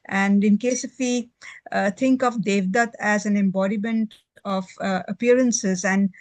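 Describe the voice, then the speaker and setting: A woman's voice: mid-range voice, steady tone, a little slow, dry recording. A woman shares her views in a casual book club discussion.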